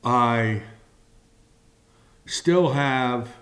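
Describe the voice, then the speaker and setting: A man preaching a communion sermon slowly and deliberately, pausing between phrases. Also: mid-range voice, a bit bright. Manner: slow and deliberate, with long pauses.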